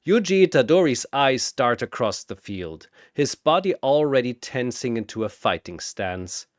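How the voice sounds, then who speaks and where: Theatrical male battle narrator, swinging pitch. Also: very animated, no echo, studio quality. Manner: theatrical, bombastic, drawn-out emphasis. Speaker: narrator and arena announcer. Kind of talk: dramatic scripted fantasy battle narration.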